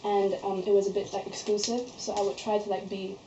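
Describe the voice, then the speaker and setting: A woman's voice: medium pitch, level tone, fairly quick, noisy background. A young woman from Malaysia, a student standing for a society post, talking through her nomination speech and answering questions in a chatty way full of fillers. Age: young adult. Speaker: candidate for student society office. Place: student society meeting room. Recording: echoey room, dull lo-fi sound.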